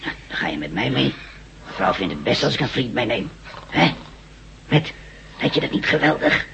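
A chatty, homesick labourer, noisy recording. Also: male voice, medium pitch, big pitch swings, moderate pace, creaky. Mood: coaxing, hopeful. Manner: coaxing, hesitant, informal. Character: chatty, homesick male labourer trying to befriend cellmate. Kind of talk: radio drama acting. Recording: dull, very noisy old radio recording.

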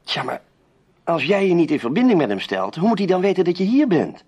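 An actor playing a shrewd, composed male investigator in a radio play, reasoning deliberately and with authority. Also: sits high, very animated, darkish tone, slightly rough, full character voice. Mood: reasoning, measured. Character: shrewd, composed male sleuth and protective companion.

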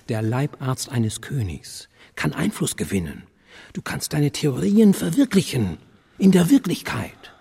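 An actor playing a cynical, urgent older intellectual mentor in a radio play, persuading insistently with a husky, gravelly voice. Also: male voice, on the low side, darkish tone, heavily acted. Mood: sardonic, reproachful. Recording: long reverberant hall.

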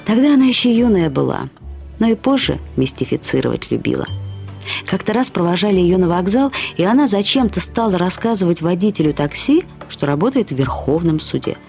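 Reminiscing woman narrator, lively swooping intonation, slightly husky, fond irony, audio drama storytelling, faint music. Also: lowish voice, a little fast. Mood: reminiscing, wry. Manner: acts out quoted remarks with lively intonation. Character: woman fondly and ironically recalling her flighty friend. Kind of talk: expressive literary storytelling, first-person reminiscence.

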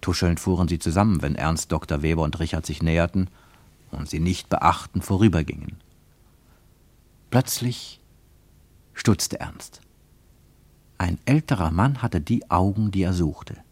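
A man's voice: low-pitched, unhurried, pauses often, darkish tone, no echo. A man reading scripted literary narration for a radio play, as its narrator.

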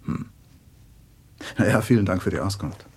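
A polite customer in a radio crime play. His voice is fairly low and he speaks hesitantly yet keeps probing, with faint noise on the recording. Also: animated, normal speed. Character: polite, probing male customer feigning innocence. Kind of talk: German radio crime drama acting.

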